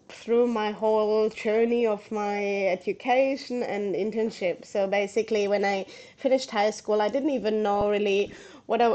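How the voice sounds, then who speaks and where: A woman from southern Germany with a German accent, a podcast guest answering questions, pausing often with ums while she searches for words. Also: mid-range voice, dark tone, dull audio. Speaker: guest race engineer. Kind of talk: casual interview answer.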